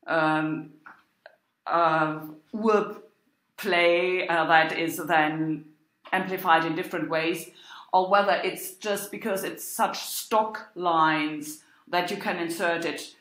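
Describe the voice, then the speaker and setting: Female academic lecturer, lowish pitch, hesitant and explanatory, thinking aloud at a very slow pace. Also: fairly even intonation, a bit bright. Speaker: university lecturer. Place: indoor room with echo. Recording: echoey room.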